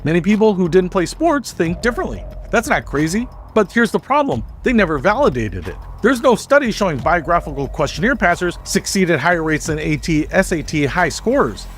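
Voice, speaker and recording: A man reading scripted explanatory narration in a measured, emphatic, structured way, as in a video essay. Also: sits high, very animated, a little hiss or hum. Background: background music.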